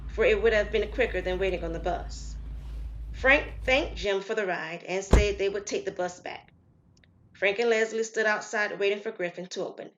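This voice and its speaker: A woman reading a dramatic scripted story as narrator, solemn and deliberate. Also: mid-range voice, highs cut off. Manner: solemn, deliberate, acts out dialogue. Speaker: storyteller and writer-performer.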